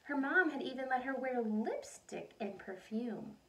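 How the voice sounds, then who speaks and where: A woman reading a picture book aloud like a teacher, animated, pausing to put questions and asides to young listeners. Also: on the high side, gravelly. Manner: animated storytelling, addresses young listeners directly. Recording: voice distant from the microphone.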